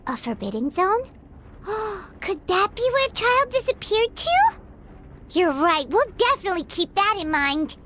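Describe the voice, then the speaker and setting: A woman voicing a tiny childlike fairy companion in a video game, squeaky and exclamatory, with music behind her. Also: big pitch swings, measured pace, noisy background, full character voice. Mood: startled, curious. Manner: exclamatory, refers to self in third person. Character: tiny childlike floating fairy companion, excitable and food-loving. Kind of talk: video game character acting.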